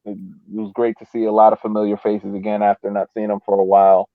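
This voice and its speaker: A man's voice: on the low side, flat delivery, fast, no echo. A man co-hosting a soccer fan podcast over a thin, phone-like connection, chatting casually and enthusiastically. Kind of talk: casual chat. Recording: thin, phone-like radio sound.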